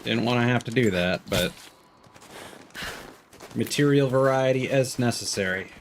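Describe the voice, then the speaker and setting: A man's voice: mid-range voice, normal speed, background noise. A man streaming a video game with casual live commentary and dryly playful asides.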